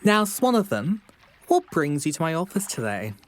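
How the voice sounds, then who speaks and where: Animated man as a measured frog therapist. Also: on the high side. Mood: reassuring, professional. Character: calm, professional male frog therapist. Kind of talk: audio drama dialogue.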